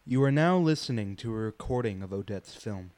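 A man's voice: fairly low pitch, expressive, brisk, darkish tone, no room sound, close to an everyday voice. A man playing a composed narrator, formally describing scenes in a scripted radio play.

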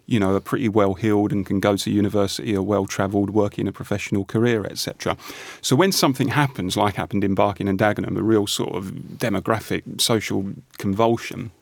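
A man, a panel guest, arguing his point in an earnest, measured way. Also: lowish voice, vocal fry, no echo. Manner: earnest, measured, restarts phrases. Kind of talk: panel discussion.

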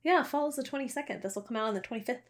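A young woman with a fairly high voice chats casually as a podcast co-host. She is animated and playful, jokes around and laughs often as she talks. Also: very fast. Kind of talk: casual chat.

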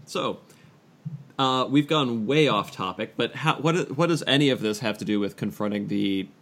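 A man's voice: medium pitch, expressive, normal speed, fairly bright tone. A man co-hosting a casual podcast among friends, talking in a hesitant, dryly analytical way. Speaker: podcast co-host.

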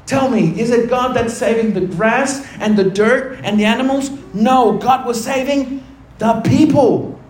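High-voiced male preacher in an echoey room. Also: darkish tone. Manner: preaching with rhetorical questions to the congregation; swings between quick asides and slow, low emphatic phrases. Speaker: pastor. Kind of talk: sermon.

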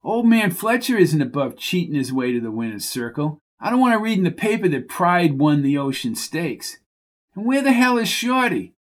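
A man's voice: fairly high pitch, very animated, moderate pace, voice pushed up. Man doing a brash, bossy horse owner. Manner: gruff, bossy, threatening. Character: brash hot-tempered male racehorse owner.

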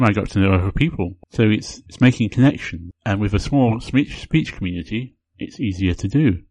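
A man hosting his own podcast, talking casually on his own in an unhurried way and often repeating words as he gathers his thoughts. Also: lowish voice, a little mellow, no room sound, low-quality recording. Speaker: podcast host. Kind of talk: casual solo podcast talk.

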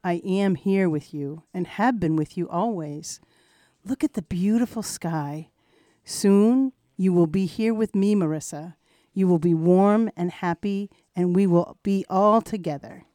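Soft-toned grandmother spirit acted by a woman, mellow, dry sound, gentle, reassuring, solemn, radio play. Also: on the low side, no echo, close to an everyday voice. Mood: soothing, solemn. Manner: soothing, solemn, measured.

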